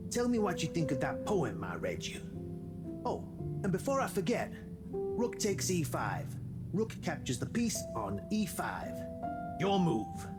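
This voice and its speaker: A warm-hearted robot pal, slow and sing-song, in a game mix with heavy music. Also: male voice, on the high side, wildly swooping pitch. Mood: reflective. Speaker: game character reading a letter. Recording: game audio with loud music.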